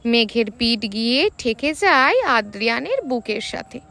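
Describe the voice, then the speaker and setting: A woman's voice: fairly high pitch, big pitch swings, a little slow, bright, forceful tone. A woman narrating a scripted romantic story in a dramatic storytelling style.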